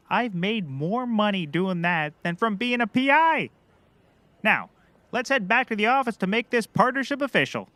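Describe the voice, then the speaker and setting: Goofy comedy private eye, high bright voice, cocky and rambling, bit clueless. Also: male voice, no room sound, heavily acted. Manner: glib, rambling, self-assured. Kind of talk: scripted comedy acting.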